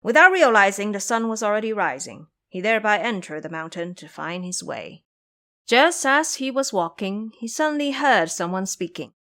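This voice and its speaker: A woman tells a story as the narrator of an audio drama. Her pitch moves up and down in a very lively way, following a scripted text. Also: moderate pace.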